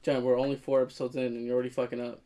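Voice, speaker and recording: A man's voice: mid-range voice, flat delivery, rapid-fire, warm tone. A young man co-hosting a casual podcast, blunt and teasing, who swears often and rants freely. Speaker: podcast co-host. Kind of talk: casual banter.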